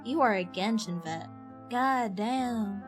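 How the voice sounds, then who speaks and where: A woman's voice: mid-range voice, slow pace. A young woman from Ireland chatting casually as she streams a game, informal and slangy, often self-deprecating. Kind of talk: casual live stream chat. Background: game music.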